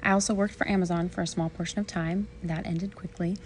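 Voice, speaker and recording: A woman's voice: on the low side, fairly even intonation, fast, slight background noise. Giggly young woman from Washington State. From Washington State, USA. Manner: giggly, bubbly, lots of laughter. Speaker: podcast guest. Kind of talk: casual chat.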